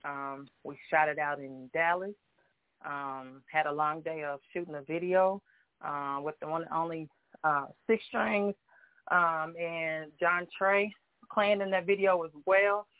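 A woman from Oklahoma, a guest singer calling in, talking in a soft, halting way with many fillers. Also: low voice, fairly even intonation, dry recording. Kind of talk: phone-in interview. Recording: tinny phone line, dry.

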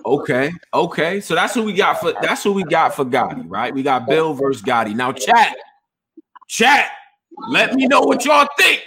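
Animated, bright-voiced male stream host. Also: a little high, moderate pace. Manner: animated, interjecting, prompting guests. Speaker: livestream host. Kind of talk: casual chat.

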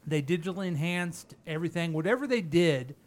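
A man's voice: fairly high pitch, lively intonation, a little fast. Joking, rambling man hosting radio. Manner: joking, rambling, laughs often. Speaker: radio show host. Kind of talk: casual studio banter.

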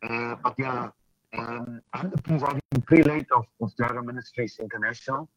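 A hoarse South African man, a pastor, introducing himself on an online panel, with frequent filler pauses. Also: medium pitch, dry recording, rough recording.

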